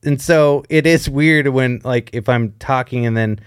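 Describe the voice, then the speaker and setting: A man's voice: medium pitch, unhurried, clear, resonant tone, dry recording, studio quality. A man co-hosting a podcast in casual chat, rambling and joking, often interrupting himself. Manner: rambling, self-interrupting, jokey. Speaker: podcast co-host.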